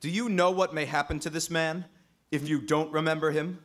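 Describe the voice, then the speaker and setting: An actor playing a composed, persistent gentleman sleuth in an old-time radio mystery. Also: fairly high pitch, steady tone, moderate pace, lightly acted. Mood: pressing. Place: live stage performance at a convention.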